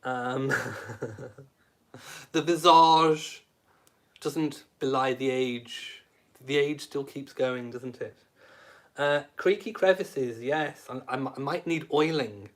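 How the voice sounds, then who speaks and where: A man's voice: mid-range voice, slow pace, long pauses. A man, hosting a live stream and reading out viewer comments in a cheeky, self-mocking way. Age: late 30s. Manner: cheeky, camp, self-mocking humour with frequent laughs. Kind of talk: casual live stream chat.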